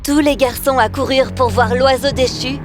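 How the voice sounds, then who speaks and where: A woman narrates a children's fairy tale in a high-pitched voice. Her scripted storytelling runs over clearly audible music. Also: fairly even intonation, moderate pace.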